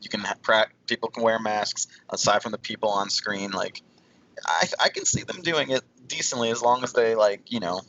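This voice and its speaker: A man's voice: lowish voice, normal speed, fairly bright tone, highs cut off, slight background noise. A man taking part in a casual podcast discussion as one of the panelists.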